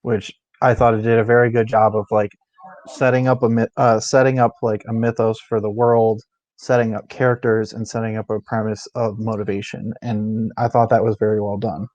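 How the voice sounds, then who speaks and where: A man's voice: on the low side, flat delivery, moderate pace, darkish tone, no room sound, muffled recording. A man co-hosting a book discussion stream in a casual, informal, conversational way. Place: indoors, live stream.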